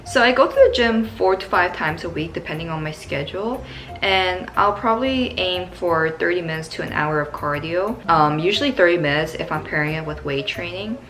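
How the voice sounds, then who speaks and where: A woman's voice: lowish voice, expressive, moderate pace, fairly bright tone, rough recording. A young woman from Canada answering viewer questions in a casual, upbeat vlog, full of "like" fillers. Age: young adult. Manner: upbeat, chatty, many "like" fillers.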